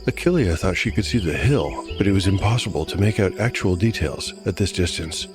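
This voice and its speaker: A man giving measured, literary scripted narration as storyteller and game master of a fantasy audiodrama. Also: low-pitched, lively intonation, over music.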